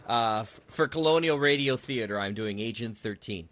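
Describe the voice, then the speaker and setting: A man's voice: mid-range voice, moderate pace, fairly bright tone. A man hosting a casual interview about his field, talking in an enthusiastic way. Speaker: podcast host and audio drama writer.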